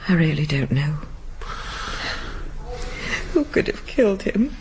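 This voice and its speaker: A woman's voice: low-pitched, big pitch swings, slow pace, darkish tone, exaggerated voice. Female voice, British accent, shaken and guarded woman, subdued, hesitant, radio drama, slight background noise. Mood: guarded, sorrowful. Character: shaken, guarded, tender woman confiding in a detective.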